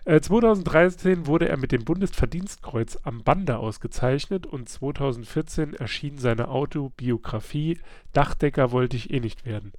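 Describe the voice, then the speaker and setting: A man hosting a casual book club podcast, a little stuffy from a slight cold, chatty and quick to laugh, with many filler sounds. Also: mid-range voice, a little mellow, dry recording, studio quality. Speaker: podcast host.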